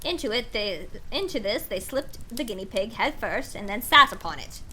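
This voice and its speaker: A woman streamer reading a story aloud in an expressive, playful way with casual asides. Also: on the high side, moderate pace, a little hiss or hum.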